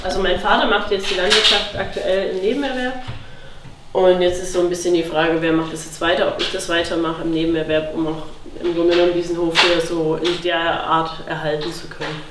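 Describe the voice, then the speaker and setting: A woman's voice: on the low side, little pitch movement, a little fast, noisy background. A woman from eastern Germany in casual, reflective interview talk, recorded with roomy, distant location sound. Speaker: interviewee from a farming family.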